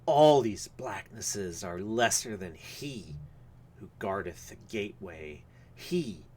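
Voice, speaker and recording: Man reading weird fiction aloud, measured and dramatic. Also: lowish voice, a little hiss or hum. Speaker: reader of a story. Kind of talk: live literary horror story reading.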